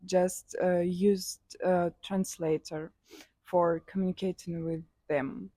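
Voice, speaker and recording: Young kazakh woman, slow and unsure, lots of uhs, dry close sound. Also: lowish voice, flat delivery, mellow, dry recording. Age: young adult. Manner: hesitant, many fillers, simple non-native English. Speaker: interviewee, student. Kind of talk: street interview answers.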